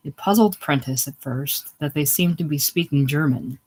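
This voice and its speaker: A man's voice: a little high, normal speed, a little mellow, husky. A man reading literary war fiction aloud as an audiobook narrator, now and then stumbling and rereading a phrase. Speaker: audiobook reader.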